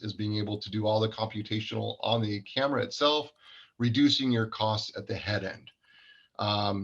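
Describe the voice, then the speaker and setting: A man's voice: medium pitch, a bit bright, highs cut off. A man giving an informal product presentation in an explanatory, technical manner.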